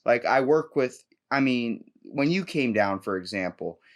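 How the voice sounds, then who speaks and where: Man, podcast host, mid-range pitch, joking, rambling, animated, casual chat. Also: unhurried.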